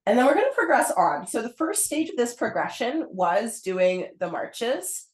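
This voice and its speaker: A pilates instructor who is getting over a cold and sounds hoarse, calmly talking a class through each move in an encouraging way. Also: female voice, on the high side, normal speed. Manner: calm, encouraging step-by-step cueing. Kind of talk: guided pilates class cues. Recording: roomy echo.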